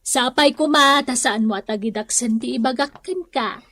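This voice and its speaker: Radio drama mother played by a woman: high voice, long-suffering, scolding, Filipino accent, dry studio sound. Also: moderate pace, pushed and bright, dry recording. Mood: earnest advising. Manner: scolding, pleading, sighing. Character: nagging, long-suffering mother and wife, earnestly advising. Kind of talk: Ilocano comedy radio drama acting.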